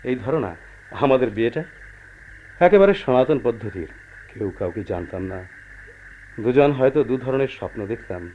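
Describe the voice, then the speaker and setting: A man acting a calm, pragmatic husband who earnestly placates his unhappy wife in a radio play. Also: mid-range voice, normal speed, pauses often, lightly acted. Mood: reasoning. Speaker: radio drama actor. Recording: dull, noisy old radio drama recording.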